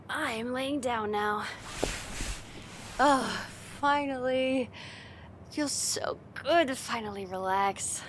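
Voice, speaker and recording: A woman's voice: a little high, fairly bright tone, slight background noise. A woman playing a friend's teasing older sister in a casual, conversational ASMR roleplay spoken straight to the listener. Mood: tired, relieved.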